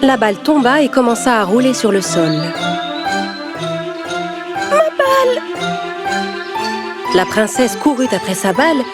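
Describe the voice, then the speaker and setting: A woman narrating a scripted children's fairy tale with expressive storytelling delivery. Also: on the high side, a little fast, hardly any pauses, loud music behind the voice.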